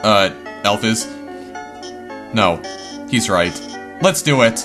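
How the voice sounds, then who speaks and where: A man voicing a big, bashful goat king who speaks softly and hesitantly. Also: expressive, slow pace, over music. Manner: hesitant, soft-spoken, low.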